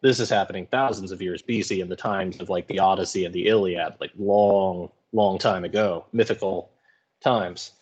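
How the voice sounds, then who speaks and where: A man's voice: low voice, normal speed, a little mellow, husky, dull audio. A man speaking as an English teacher, giving informal lecture commentary in a colloquial, wry way.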